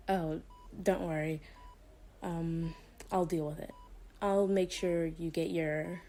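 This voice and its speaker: A woman playing a doctor with a split persona, tender yet menacing, in a soft, hushed ASMR roleplay with a condescendingly sweet manner. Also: fairly low pitch, slight background noise. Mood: soothing, tender. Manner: slow, hushed, breathy delivery, condescendingly sweet. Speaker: voice performer.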